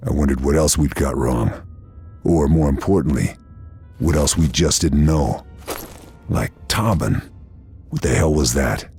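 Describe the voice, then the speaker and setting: Very deep, grim American sniper. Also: male voice, unhurried, long pauses, darkish tone, husky, soft background music, exaggerated voice. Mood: brooding. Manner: terse, laconic, world-weary. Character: hardened, grim American OSS sniper officer. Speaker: narrator and protagonist. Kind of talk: noir-like scripted narration in a war game.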